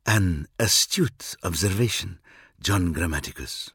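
A man voicing a cryptic male alien seer in an audiobook, speaking low, hushed and measured with calm superiority. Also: slightly rough, no room sound, studio quality, exaggerated voice. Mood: cool, probing. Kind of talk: audiobook reading.

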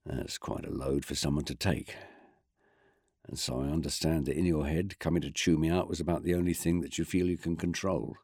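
A man's voice: extremely low pitch, fairly even intonation, brisk, a little mellow, slightly rough, no echo, studio quality, pitched below the performer's own voice, full character voice. A man voicing a weary, blunt squadron commander.

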